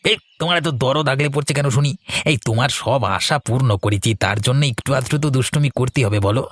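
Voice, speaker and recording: A man's voice: mid-range voice, a little fast, no echo, natural voice. Man playing a gloating, sly village swindler. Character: scheming, gleeful male village swindler. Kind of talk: Bengali cartoon dialogue.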